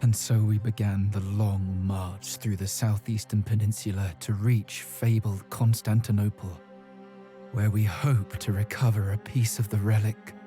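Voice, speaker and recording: Man acting low, devout medieval chronicler. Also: level tone, measured pace, warm tone, natural voice. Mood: brooding, solemn. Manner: solemn, pious. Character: devout, brooding medieval warrior chronicling a quest. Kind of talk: dramatic scripted narration in a game. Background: music.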